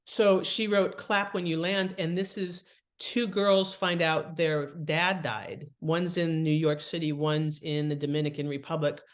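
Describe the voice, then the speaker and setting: A woman's voice: low voice, fairly even intonation, a little slow. A woman speaking as an expert guest in an interview, giving conversational advice and explaining with emphasis on key words. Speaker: guest author and writing expert.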